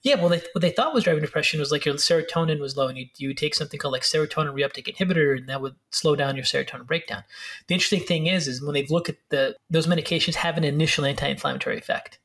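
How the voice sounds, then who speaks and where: A man speaking as a guest expert, explaining brain health in a fast, filler-heavy way with restarts. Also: fairly high pitch, fairly bright tone. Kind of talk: explanatory interview talk.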